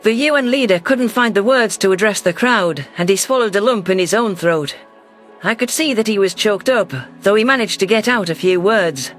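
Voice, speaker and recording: High-pitched man narrating sci-fi. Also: normal speed, a bit bright, soft background music. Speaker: audiobook narrator. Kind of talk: scripted first-person sci-fi narration.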